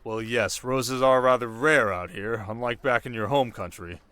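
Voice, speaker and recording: A man playing a young, sharp desert king in an intimate ASMR roleplay, teasing his new wife with measured, quietly commanding speech. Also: mid-range voice, fairly even intonation, smooth, dry recording, close to an everyday voice. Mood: playful, brisk.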